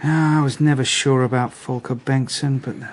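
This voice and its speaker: An actor playing a reserved, methodical and quietly humane police detective in a radio drama, speaking in a terse, understated way. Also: male voice, medium pitch, steady tone, moderate pace, close to an everyday voice. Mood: tired, relieved.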